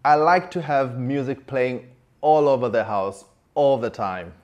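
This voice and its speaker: A man's voice: mid-range voice, unhurried, darkish tone, highs cut off. A man from Tanzania, a Swahili speaker, presenting a church teaching to camera in a studio. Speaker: church leader. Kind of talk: presenting a sermon segment to camera. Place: studio set.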